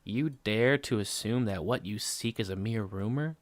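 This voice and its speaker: A man's voice: on the low side, moderate pace, no echo, natural voice. A man playing a surly, sarcastic, foul-mouthed grudging informant in an audio drama. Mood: mocking. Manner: sarcastic, irritable, cursing.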